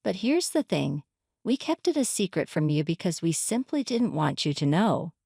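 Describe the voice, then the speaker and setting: A woman's voice: on the low side, very animated, normal speed, creaky, dark tone, slightly rough, no echo, clean studio sound, full character voice. A woman narrator voicing a smug, spiteful older mother-in-law in a story, condescending and dismissive. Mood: smug, blunt. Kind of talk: dramatized story reading.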